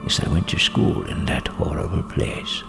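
An actor playing an elderly miser, shaken and remorseful, with a gravelly, halting voice in an audio drama. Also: male voice, natural voice. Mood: sorrowful, shaken. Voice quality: gravelly, creaky. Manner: halting, trembling, regretful. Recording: music behind the voice.

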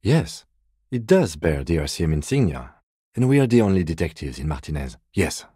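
Very deep, composed male detective. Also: sing-song, dark tone, slightly rough, no room sound, studio quality, exaggerated voice. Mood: calm, matter-of-fact. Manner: measured, formal, understated. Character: composed, dry, methodical male police detective. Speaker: video game voice actor. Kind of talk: video game character dialogue.

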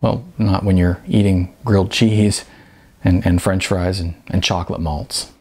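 A man's voice: low voice, steady tone, measured pace, dark tone, slightly rough, full character voice. A man voicing a shy, polite diner regular in an audiobook, hesitant and stammering with embarrassment. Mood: embarrassed, flustered.

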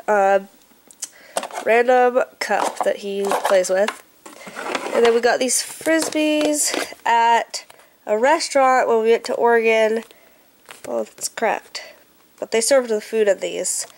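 Stuffed-up young mom, chatty and rambling. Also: female voice, on the high side, unhurried. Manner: chatty, rambling, heavy on fillers like um and like. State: stuffed-up nose from a cold. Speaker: stay-at-home mom vlogger. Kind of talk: casual vlog chat. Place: living room at home, indoors. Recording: noisy home camera audio.

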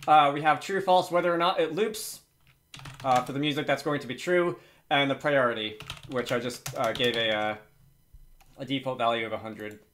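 A man's voice: on the high side, fairly bright tone. A man hosting an informal programming tutorial, thinking aloud hesitantly as he works through it at his computer. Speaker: tutorial host. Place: indoors at a computer, screen recording with typing.

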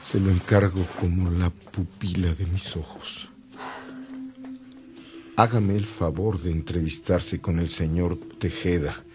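Radio drama bishop: deep, calm, earnest, formal authority. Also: male voice, slow. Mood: earnest, resolute.

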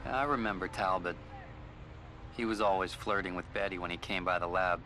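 Wary scientist on the run: deep, grave, terse video game lead, noisy recording. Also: male voice, fairly even intonation, moderate pace, bright, forceful tone. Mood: guarded. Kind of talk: video game dialogue acting.

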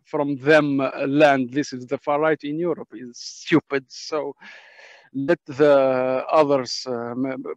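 A man who is a non-native English speaker gives informal testimony as a panel speaker, haltingly searching for words in broken English on a muffled video call. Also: mid-range voice, steady tone, dry recording. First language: not English. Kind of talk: informal spoken testimony in a webinar. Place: on a video call from a dim space.

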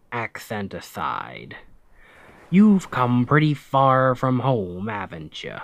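Pirate captain, male actor, ASMR roleplay. Authoritative and gruffly protective, very slow deliberate delivery, lively intonation. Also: no room sound, full character voice. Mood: gruff, firm. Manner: slow, deliberate, authoritative, phrases trailing low.

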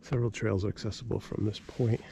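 A man casually narrating his own hike through snowy woods, pointing out trail features in a relaxed, plain-spoken way. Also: lowish voice, quick pace, vocal fry. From New England, US. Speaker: hiker filming his own trail video. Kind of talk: casual narration while hiking. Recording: loud outdoor noise, clicks.

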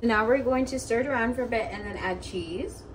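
Young woman, higher voice, distant noisy mic. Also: fairly quick. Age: 20s. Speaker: home cooking vlogger. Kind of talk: casual cooking vlog narration. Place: small home kitchen, indoors. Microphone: camera or phone a few steps away.

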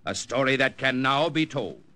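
A man announcing a 1950s radio drama in solemn, deliberate, scripted narration. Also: mid-range voice, lively intonation, pushed and bright. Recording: old radio broadcast, music underneath.

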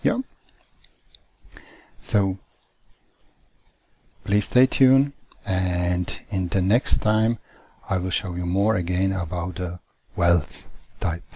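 Slow, husky-voiced man teaching software. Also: low voice, fairly even intonation, no room sound. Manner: slow, halting phrasing with non-native grammar. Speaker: CAD software tutor. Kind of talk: screen-recorded software tutorial.

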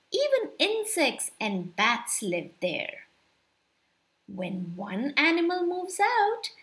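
A young woman reading a children's story aloud in an expressive, deliberate storytelling manner. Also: high voice. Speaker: storyteller, channel host.

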